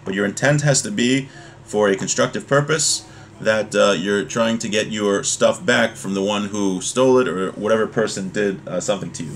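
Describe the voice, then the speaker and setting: Low-voiced man teaching, with some background noise. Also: moderate pace, vocal fry. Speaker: religious teacher. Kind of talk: informal Torah lesson, reading a text and explaining it. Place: small home room, indoors.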